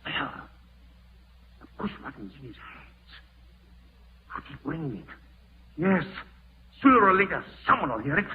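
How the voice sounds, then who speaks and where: A man's voice: a little high, big pitch swings, a little slow, pauses often. An actor playing a greedy, treacherous man in a melodramatic 1940s radio drama, muttering to himself and given to frantic outbursts. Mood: anxiously hopeful. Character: greedy, treacherous man, trapped and unraveling in panic. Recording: muffled vintage 1940s radio recording.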